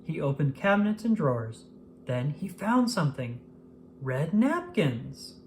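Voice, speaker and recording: A woman narrating a children's picture book aloud in an expressive manner, paced for young listeners. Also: low-pitched, measured pace, slight background noise. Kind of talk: scripted storybook narration.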